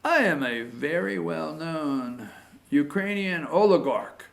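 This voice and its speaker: A gravelly oligarch played by a male talk host passes on hints in a skit. He is chummy and insinuating, halting as he goes, like a conspirator sharing a tip. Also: a little high, lively intonation, full character voice. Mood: sly, hinting.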